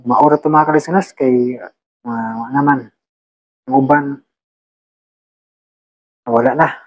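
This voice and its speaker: Male Cebuano announcer, informal and halting, medium pitch, casual listener shout-outs. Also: fairly even intonation, muffled recording. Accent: Cebuano Filipino.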